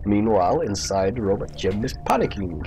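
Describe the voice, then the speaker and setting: A man's voice: on the low side, lively intonation, normal speed, music in the background. A man narrating a comic improvised story while gaming, with a playfully dramatic delivery. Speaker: storyteller and game player. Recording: hobby internet recording with clear noise.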